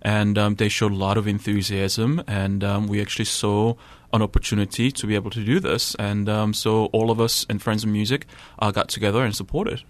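A man's voice: fairly low pitch, level tone, a little fast, dry recording. Hesitant young man. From South Korea. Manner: hesitant, many ums and uhs. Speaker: church musical director, studio guest. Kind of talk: radio interview answers. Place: radio studio.

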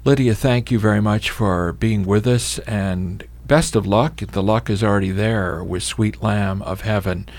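A man's voice: on the low side, measured pace, few pauses, darkish tone. A man hosting a radio talk show, asking easygoing, chatty questions with many fillers. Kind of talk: radio interview.

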